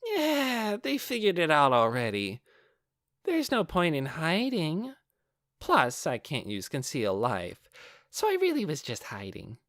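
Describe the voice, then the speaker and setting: A man's voice: a little high, huge pitch swings, a little slow, fairly bright tone, dry recording, studio quality, voice pushed up, full character voice. A man voicing a playful, sly young female villain with a flippant, sing-song way of talking, in a fantasy audiobook.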